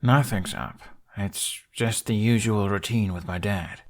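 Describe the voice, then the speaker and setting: A man voicing a stubborn, earnest young prince in a fantasy audio story, dry and sarcastic with a grumbling deadpan. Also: on the low side, a little slow, close to an everyday voice.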